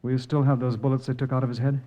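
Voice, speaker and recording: An actor playing a keen, dogged junior detective in a 1950s radio crime drama, terse and matter-of-fact. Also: male voice, medium pitch, flat delivery, quick pace, mellow, lightly acted. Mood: keen, determined. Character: keen, dogged male police subordinate detective. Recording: muffled old-time radio recording.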